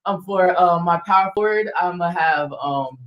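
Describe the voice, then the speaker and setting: A man's voice: high voice, moderate pace, dull audio. Teen boy, easygoing, rambling, fillers, echoey room. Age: teenager. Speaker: guest singer. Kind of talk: casual interview chat. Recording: roomy echo.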